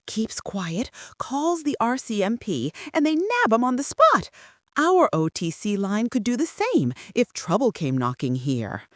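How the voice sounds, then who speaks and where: A woman's voice: fairly high pitch, huge pitch swings, unhurried, no room sound, clean studio sound. A woman playing a homey, gossipy retired small-town wife who is easily thrilled, in scripted radio drama dialogue. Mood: excited, gossipy. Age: older.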